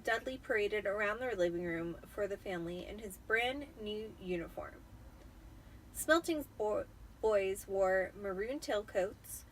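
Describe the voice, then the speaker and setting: A tipsy woman reading a novel aloud in a theatrical, expressive storytelling style. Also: medium pitch, far from the mic, a little hiss or hum, rough recording. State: tipsy from wine. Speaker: amateur storybook reader.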